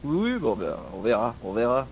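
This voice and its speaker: A man role-plays a police officer in an online game. He talks quickly in a medium pitch and tends to scold and order people about. A faint noise sits behind his voice. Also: sing-song. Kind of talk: improvised online role-play chat.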